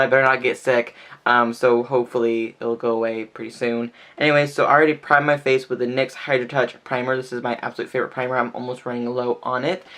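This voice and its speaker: A man's voice: medium pitch, a bit bright. A man talking through a makeup tutorial in a chatty, casual way, filling his speech with "like", his voice a little stuffy and nasal from sneezing. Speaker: beauty vlogger.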